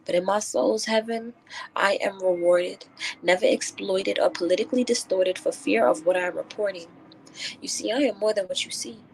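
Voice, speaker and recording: A woman's voice: mid-range voice, moderate pace, creaky, a little mellow, slight background noise, poor audio. A woman guest who is a spoken word poet, moving between casual talk and rhythmic, declamatory verse. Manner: declamatory, rhyming delivery when reciting.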